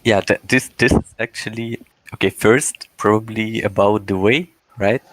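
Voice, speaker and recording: A man's voice: fairly low pitch, steady tone, moderate pace, fairly bright tone, no room sound, rough recording. A man in his twenties from Russia, speaking English as an interview guest, hesitant and searching for words. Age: 20s. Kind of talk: casual interview answers.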